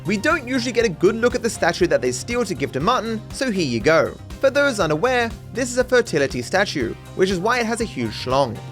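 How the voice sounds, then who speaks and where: A man's voice: sits high, big pitch swings, brisk, hardly any pauses, fairly bright tone. A man delivering scripted, comedic game commentary with a sardonic, mock-dramatic manner. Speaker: video host and narrator. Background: background music.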